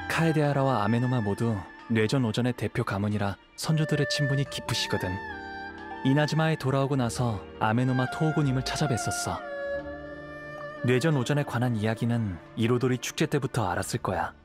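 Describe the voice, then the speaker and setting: An actor playing a calm, polite young wandering samurai in a video game, speaking in a courteous, thoughtful way. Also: male voice, mid-range voice, brisk, lightly acted. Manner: courteous, composed, explanatory. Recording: clear background music.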